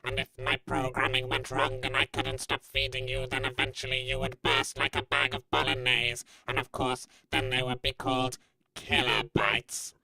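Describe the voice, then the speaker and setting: Someone doing a robot dating show contestant, totally monotone, piercing bright voice, deadpan, dry close sound. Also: medium pitch, moderate pace, lightly acted, poor audio. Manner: flat robotic delivery, deadpan. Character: Maria, cool hard metal factory robot woman, game show suitor. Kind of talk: scripted comedy sketch acting.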